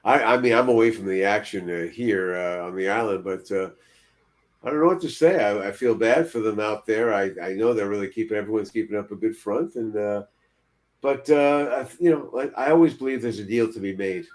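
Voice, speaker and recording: A man's voice: fairly low pitch, brisk. An older man of about seventy co-hosting a casual panel chat over a video call, rambling and repeating himself. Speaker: podcast co-host. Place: room at home, indoors.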